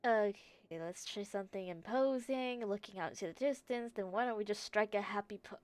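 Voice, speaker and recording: A woman's voice: mid-range voice, fairly quick, a little mellow, no echo. A woman livestreaming a game, chatting casually and playfully with viewers and reacting as she plays. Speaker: game streamer. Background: faint background music.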